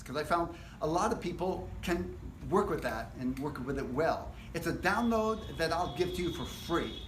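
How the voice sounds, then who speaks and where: A man teaching a casual live guitar workshop, explaining things step by step in a relaxed, rambling way. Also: fairly high pitch, slight background noise. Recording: echoey room.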